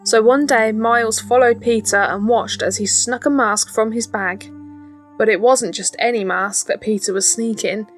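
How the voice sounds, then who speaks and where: Female storybook narrator, higher-pitched voice, scripted read for young children with light music underneath. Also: steady tone, normal speed. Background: faint background music.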